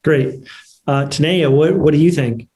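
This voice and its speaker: A man's voice: a little high, measured pace, mellow. A man moderating a panel on a video call, casually putting questions and thinking aloud as he goes.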